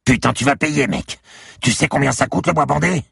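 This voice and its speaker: A man's voice: sits low, big pitch swings, moderate pace, slightly rough, no echo, studio quality, voice pushed up, full character voice. A man voicing a scrawny, sleazy, cowardly sex-shop owner with a crude, mocking, whiny manner in an audiobook. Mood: outraged.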